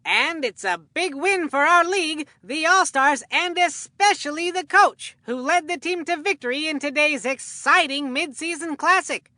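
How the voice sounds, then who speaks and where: A woman voicing an excitable, food-loving boy baseball commentator in a cartoon game, with a folksy, earnest showman delivery. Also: high voice, moderate pace, hardly any pauses, no room sound, clean studio sound. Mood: excited announcing.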